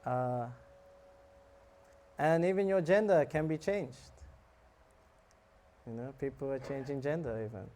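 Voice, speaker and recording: A man giving a relaxed, joking talk on scripture to a live audience, often asking them questions. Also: on the high side, measured pace, long pauses, a little hiss or hum. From South Africa. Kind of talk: casual sermon to a live audience.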